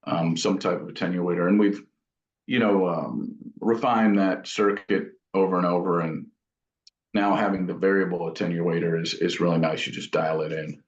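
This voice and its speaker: A man talking casually as an interviewed amp builder, explaining technical details informally in a thoughtful, halting way. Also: low-pitched, steady tone. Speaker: amp builder and company founder being interviewed. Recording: dull lo-fi sound in an echoey room.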